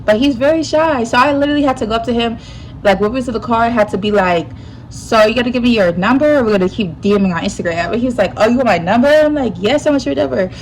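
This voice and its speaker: A young woman with a slightly stuffy nose, telling a personal story in a casual, animated way full of slang. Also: fairly high pitch, fast, a little hiss or hum, rough recording. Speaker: YouTuber.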